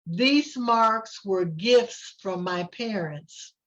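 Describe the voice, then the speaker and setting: A woman reading the part of a proud, kindly grandmother who gently explains things to children in a storybook. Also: medium pitch, very slow, muffled recording. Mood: proud, gentle. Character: proud, kindly Ghanaian grandmother visiting a classroom.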